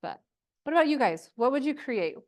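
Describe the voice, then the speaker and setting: Female workshop presenter, higher-pitched voice, chatty and encouraging, informal delivery to a live group. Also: sing-song, brisk. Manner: chatty, encouraging, checks in with questions and "right?".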